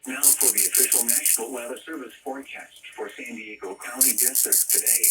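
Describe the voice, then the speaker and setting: A man's voice: medium pitch, a little fast, far from the mic, heavy background noise. A man reading a scripted weather bulletin in a formal, automated-sounding way over a weather radio broadcast. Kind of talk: scripted weather forecast and test announcement.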